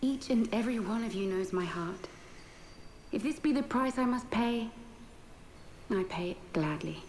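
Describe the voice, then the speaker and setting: A woman playing a gentle, serene noblewoman in a video game, with tender, softly breathed archaic speech. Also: fairly high pitch, lively intonation, measured pace. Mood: serene resolve. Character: gentle, serene, self-sacrificing noblewoman with sacred bearing. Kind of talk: scripted video game voice acting. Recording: echoing voice, faint background noise.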